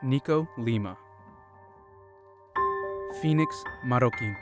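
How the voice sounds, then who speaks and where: A man reading the credits in a measured, deliberate way. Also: medium pitch. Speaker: credits announcer. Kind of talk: slow scripted credits reading. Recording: loud music under the voice.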